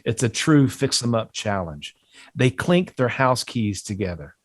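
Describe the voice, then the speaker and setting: A man's voice: medium pitch, sing-song, a little slow, no echo, clean studio sound. A man reading stage directions as scripted narration at a table read.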